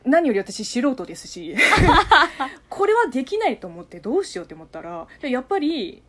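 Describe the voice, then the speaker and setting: A young woman whose first language is Chinese talks casually, as if to a close friend. Her voice sits on the high side and swings widely in pitch. She is talkative and animated, and laughs easily. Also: moderate pace. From China. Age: young adult. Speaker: radio talk host, amateur voice actor. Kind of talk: casual chat between friends.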